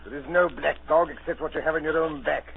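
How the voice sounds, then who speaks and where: An actor playing a stern, blunt country doctor who lectures sharply in a radio drama. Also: male voice, on the high side, steady tone, quick pace, gravelly, phone-quality sound. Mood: stern, scolding. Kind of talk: 1930s radio acting. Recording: thin, noisy old radio recording.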